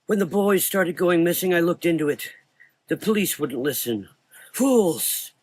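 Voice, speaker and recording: A man voicing a frightened, frail elderly doctor with a weak, thin voice and halting speech in a gothic horror audio drama. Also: high-pitched, lively intonation, darkish tone, voice pushed up. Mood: agitated, fearful. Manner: halting, repeating phrases, exclaiming.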